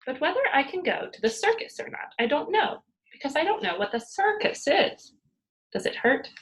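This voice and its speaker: A woman's voice: sits high, big pitch swings, moderate pace, fairly bright tone, voice pushed up, low-quality recording. A woman reading a storybook and voicing a bold, naive little girl who is matter-of-fact and boastful. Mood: puzzled, frank.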